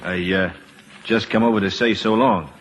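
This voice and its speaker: An actor playing a gruff, guarded war veteran, terse and halting, with a husky voice, in an old-time radio drama. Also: male voice, fairly low pitch, steady tone, slight background noise, lightly acted. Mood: awkward. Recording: reverberant old radio broadcast.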